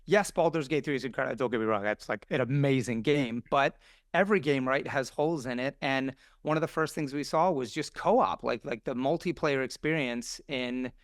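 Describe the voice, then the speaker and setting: A man chatting casually on a podcast as a guest game developer, making an enthusiastic pitch and often checking in with "right?". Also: brisk, no room sound, studio quality. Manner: enthusiastic, punctuates points with "right?".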